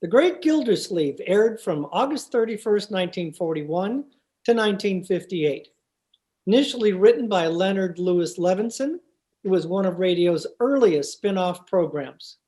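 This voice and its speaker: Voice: medium pitch, lively intonation, moderate pace, a little mellow. An announcer reading scripted narration in the style of old-time radio.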